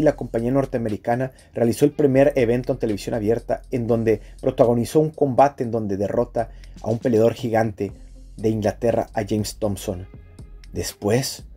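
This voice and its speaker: A man who is a guest narrator, reading a script in a measured, documentary style. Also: mid-range voice, warm tone, breathy edge.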